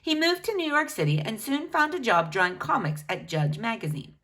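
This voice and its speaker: A woman reading a biography aloud for children in an expressive, teacherly way. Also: a little high, fairly quick. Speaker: children's book reader.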